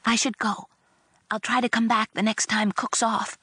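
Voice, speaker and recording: Woman doing a timid servant girl, whispery, kinda husky and high, no room echo. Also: level tone, moderate pace, clean studio sound. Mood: hushed, nervous. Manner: whispery, hushed. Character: timid young servant girl, kind, nervous.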